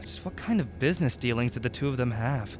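An actor playing a wry, jittery male traveler in a comic radio drama, excitable and anxious. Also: medium pitch, fast talker, a little hiss or hum, lightly acted.

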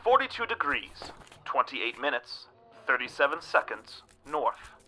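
An actor playing a mysterious, pompous game master with deliberate, formal, theatrical delivery in an audio drama. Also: male voice, mid-range voice, big pitch swings, sharp tone, exaggerated voice, low-quality recording. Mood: ominous, formal. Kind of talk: comedic audio drama acting.

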